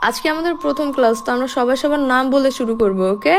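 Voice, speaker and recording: Woman acting a brisk, strict cartoon schoolteacher. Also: high-pitched, vocal fry, very noisy. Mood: instructing. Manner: bossy, instructing children. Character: strict, scolding female primary school teacher. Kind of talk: cartoon dialogue.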